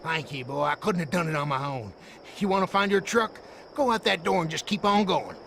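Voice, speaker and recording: An actor playing a gruff, folksy old gun store owner with a Southern American accent in a video game. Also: male voice, a little high, brisk, over music. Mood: grateful, gruff. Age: older. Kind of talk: video game cutscene acting.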